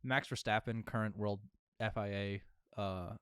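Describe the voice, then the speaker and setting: Male podcast co-host, low and resonant, dry sound, informal and knowledgeable. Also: steady tone, measured pace, a bit bright, smooth, no room sound. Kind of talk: casual film podcast chat.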